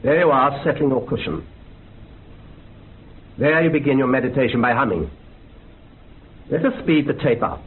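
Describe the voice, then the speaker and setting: An actor playing a courteous, sceptical investigator with a formal, precise manner in a radio drama. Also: male voice, mid-range voice, animated, moderate pace, pauses often, close to an everyday voice. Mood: brisk, dismissive. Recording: tinny narrow-band radio sound, faint noise.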